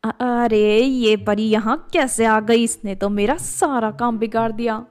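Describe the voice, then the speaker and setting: A woman's voice: on the high side, steady tone, unhurried, darkish tone, smooth, natural voice. A woman voicing a cruel, gloating woman villain in a cartoon fairy tale, with a menacing manner. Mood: alarmed.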